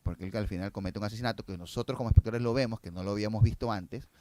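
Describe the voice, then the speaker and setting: A man's voice: on the low side, very fast, noisy background. A man speaking as a guest filmmaker in casual conversation, explaining and telling stories, often repeating phrases for emphasis.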